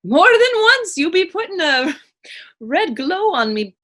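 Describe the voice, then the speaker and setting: A woman's voice: extremely high pitch, sing-song, a little slow, a bit bright, heavily acted. A woman playing a plainspoken, teasing but compassionate woman in readers theater, speaking frank, folksy dialect. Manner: folksy, frank questioning in archaic Irish dialect. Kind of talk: readers theater dramatic dialogue.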